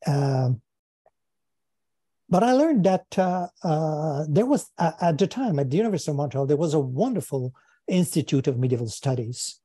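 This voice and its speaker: An older man from Quebec with a Quebec French accent, a native French speaker, answering interview questions over a video call from his study, thoughtful and halting, often repeating words. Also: a little high, animated, mellow, husky, no room sound, studio quality. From Quebec, Canada. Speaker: guest philosopher. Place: home study with bookshelves. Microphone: earphone mic on video call.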